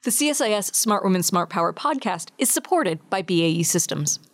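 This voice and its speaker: A woman's voice: mid-range voice, animated, fairly quick. Woman reading a podcast intro over music. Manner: polished, announcer-like read. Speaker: podcast host. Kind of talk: scripted show intro. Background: faint music.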